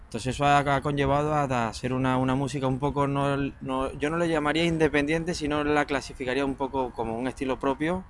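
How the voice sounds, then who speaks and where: Portuguese-born man, band drummer, halting answers, mid-pitched, faint noise behind. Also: steady tone. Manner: hesitant, repeats words. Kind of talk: casual interview answers.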